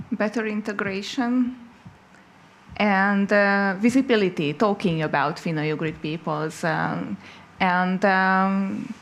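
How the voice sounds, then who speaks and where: Female panel moderator, unhurried, gently steers the discussion. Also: mid-range voice. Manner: summarizing, gently guiding.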